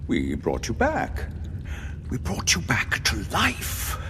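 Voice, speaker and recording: A man's voice: mid-range voice, wildly swooping pitch, slow, bright, forceful tone, full character voice. An actor playing a persuasive man in an audio drama, coaxing and theatrical. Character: persuasive man justifying a resurrection. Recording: reverberant hall, hiss and ambience.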